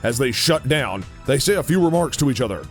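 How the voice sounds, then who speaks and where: A man's voice: medium pitch, lively intonation, a little fast, faint music behind. A man reading scripted narration for an audio drama.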